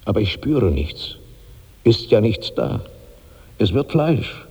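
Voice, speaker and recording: A man's voice: lowish voice, very animated, takes it slowly, mellow, slightly rough, heavily acted. An actor in a 1960s German radio drama playing a huge, heavy old man tormented by bottomless hunger, in declamatory, incantatory repetition. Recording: long hall reverb on old radio recording.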